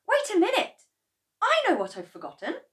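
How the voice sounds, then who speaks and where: A woman voicing a young boy who talks in a soft, earnest way. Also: sits high, wildly swooping pitch, takes it slowly, voice pushed up, exaggerated voice. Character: young boy, calm and careful.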